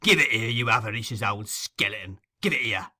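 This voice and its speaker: A man's voice: lowish voice, animated, fairly quick, bright, forceful tone, heavily acted. A man voicing a brutal, surly London criminal in an audiobook, blunt, curt and threatening. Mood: demanding. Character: gruff, menacing London thug, brutal and surly.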